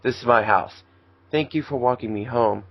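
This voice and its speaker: A man's voice: mid-range voice, normal speed, gravelly, dry recording, muffled recording. A man voicing a shy, innocent, nervous teenage boy in an amateur fan fiction reading. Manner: hesitant, nervous.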